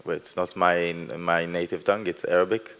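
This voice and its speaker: A man's voice: low voice, little pitch movement, fairly quick, a bit bright, clean tone. A man whose first language is Hebrew, speaking English in a post-performance discussion, hesitant and searching for words. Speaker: performer in post-show talk. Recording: reverberant hall.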